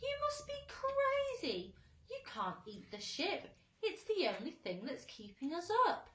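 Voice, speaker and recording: A woman's voice: very high-pitched, wildly swooping pitch, unhurried, fairly bright tone, roomy sound, pitched above the performer's own voice, exaggerated voice. A woman voicing a gloomy, irritable blind male earthworm in a storybook, complaining and snapping emphatically. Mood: indignant.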